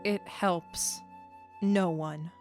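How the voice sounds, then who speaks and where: A woman playing a stranded alien creature in an audio drama, stoic and reflective, with a deliberate, solemn way of telling things. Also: on the low side, steady tone, a little mellow, faint music behind. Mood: grieving, resigned.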